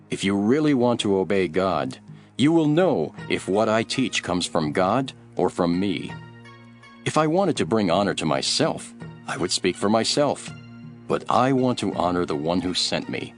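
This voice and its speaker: A male actor voices a bold religious teacher, earnest and declamatory, in an audio Bible drama. His mid-pitched voice moves in wide, lively swings, with faint music underneath.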